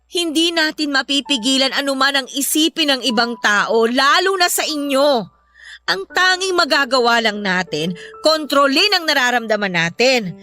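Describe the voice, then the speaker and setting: A woman's voice: high-pitched, lively intonation, moderate pace, sharp tone. A woman playing a worried, protective mother who counsels her children earnestly in an emotional radio drama. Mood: earnest, consoling. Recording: music bed behind the voice.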